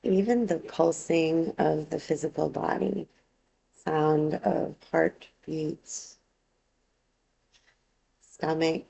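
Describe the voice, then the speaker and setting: A woman teaching yoga nidra, guiding a relaxation meditation in a calm, unhurried, gently instructive way. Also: deep voice, vocal fry, breathy edge, rough recording.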